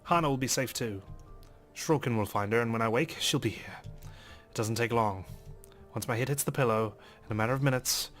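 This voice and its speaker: A man's voice: mid-range voice, brisk, fairly bright tone. A man reading a visual novel aloud as a let's play host, in a dramatic first-person narration style. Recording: music under the voice.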